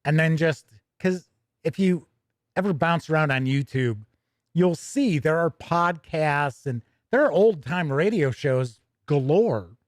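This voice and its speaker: A man's voice: a little high, expressive, slow, no echo, studio quality. A middle-aged American man hosting a podcast in casual, rambling chat, often breaking off and restarting his thoughts. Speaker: podcast host.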